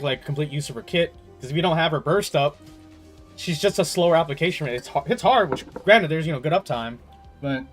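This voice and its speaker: A man with a slightly high voice talks casually as he streams a game. He thinks aloud in slangy, stop-start bursts and swears now and then. Game music plays faintly behind him. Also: a bit bright. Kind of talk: casual livestream chat, rambling gaming commentary.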